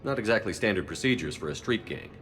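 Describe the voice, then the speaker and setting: Man as deep, brisk military commander. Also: animated, natural voice. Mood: terse. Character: steadfast male military commander, calm and diplomatic. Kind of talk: video game voice acting. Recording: music under the voice.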